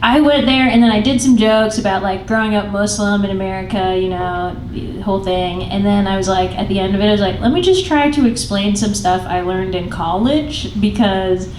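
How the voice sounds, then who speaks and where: A woman's voice: mid-range voice, moderate pace, hardly any pauses, background noise. A young woman from Pakistan, a comedian guest chatting on a live stage, self-deprecating and rambling, often laughing at herself. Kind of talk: casual live stage interview. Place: small live comedy venue. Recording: roomy live venue, distant mic, audible ambience.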